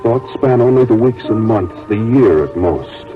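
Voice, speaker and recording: A man's voice: on the low side, lively intonation, measured pace. A man reading solemn, dramatic scripted narration in the style of 1940s radio. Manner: grave, dramatic. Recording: tinny narrow-band 1940s radio recording. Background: music.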